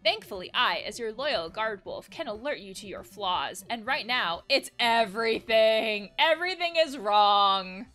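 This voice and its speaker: A woman's voice: fairly high pitch, animated, measured pace, few pauses, a bit bright, faint music behind. A woman voicing an anxious, nagging guard wolf from a game, in a melodramatic, pleading manner. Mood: alarmed, scolding. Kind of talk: game dialogue read aloud.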